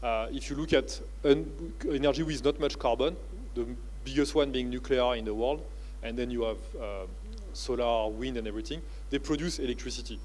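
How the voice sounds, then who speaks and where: A man with a French accent speaks from a convention stage, delivering a keynote. His voice sits at an ordinary middle pitch. He pauses often and fills gaps with "uh" and "you know, like", keeping an unpolished, conversational pace. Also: darkish tone, slight background noise, poor audio. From France. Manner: halting, with many "uh" and "you know, like" fillers. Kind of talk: conference keynote talk.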